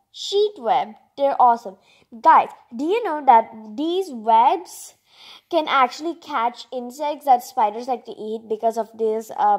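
High-pitched, animated woman reading aloud. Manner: exclamatory, expressive, informal. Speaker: read-aloud narrator. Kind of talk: children's picture book read-aloud with chatty asides.

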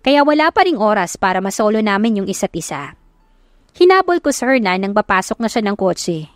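A woman narrates a first-person love story as a scripted audiobook. Her voice sits at a medium pitch, recorded close and dry with no room sound. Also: sing-song, brisk, dry recording.

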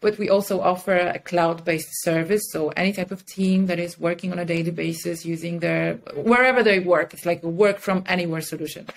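A woman's voice: on the low side, fairly even intonation, a little fast, vocal fry, hardly any pauses. A woman speaking enthusiastically and conversationally as an interview guest on a video call. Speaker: podcast guest, communications head and mentor. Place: indoor room with curtains and plants, on a video call.

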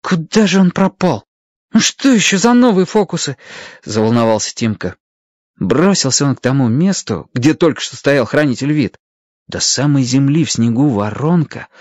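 Guy narrating a kids adventure tale, expressive, swoopy intonation, no room sound. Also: mid-range voice, no echo, muffled recording. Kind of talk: expressive scripted children's story narration.

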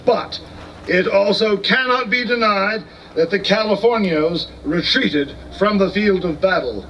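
A man's voice: high-pitched, measured pace, a bit bright. A man playing an earnest battlefield announcer, giving formal, declamatory commentary in a live historical dramatization. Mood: measured, formal. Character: earnest battlefield announcer reporting events as they unfold. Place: outdoor stone amphitheater, far camera. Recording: distant outdoor recording with noise.

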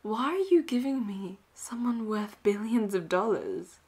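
A woman acting a flirty, dominant and cocky female pirate captain in a roleplay audio drama, teasing and breathy, often dropping toward a whisper. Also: medium pitch, expressive, a little slow, darkish tone. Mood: suspicious, commanding. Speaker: voice actor.

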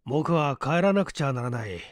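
A man's voice: medium pitch, expressive, moderate pace, no room sound, studio quality, close to an everyday voice. A man voicing an easygoing, whimsical male detective with a nonchalant, wry manner in an audiobook story. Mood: matter-of-fact. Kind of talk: Japanese audiobook character acting.

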